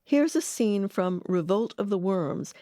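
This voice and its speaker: A woman giving measured, articulate scripted narration as a documentary narrator. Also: mid-range voice, lively intonation, dark tone, no echo, studio quality.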